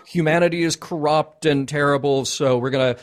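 A man's voice: on the high side, fairly even intonation, a little fast. A man hosting a casual fan discussion, agreeable and thinking aloud as he talks. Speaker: podcast host.

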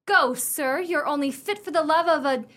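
A woman's voice: high voice, moderate pace, bright, forceful tone. A woman playing a haughty, melodramatic young lady torn between suitors, in a theatrical comic period radio play. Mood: indignant. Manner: theatrical, grandly indignant.

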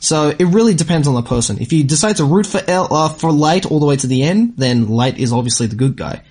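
A man's voice: on the high side, expressive, quick pace, a little mellow, husky. A young man co-hosting an anime show, explaining his views in casual, emphatic conversation. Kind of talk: casual chat, discussing anime.

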